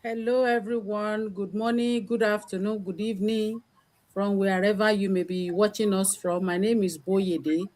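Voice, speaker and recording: A woman from Nigeria with a Nigerian accent, a mother, warmly welcoming livestream viewers. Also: mid-range voice, steady tone, normal speed.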